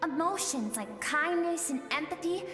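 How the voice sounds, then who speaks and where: A woman playing the wistful spirit of a warrior's suppressed emotions in a game cutscene, with solemn, lyrical, measured storytelling. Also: sits high, expressive. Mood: pleading, reproachful. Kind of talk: dramatic game cutscene acting. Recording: echoey reverberant hall, music underneath.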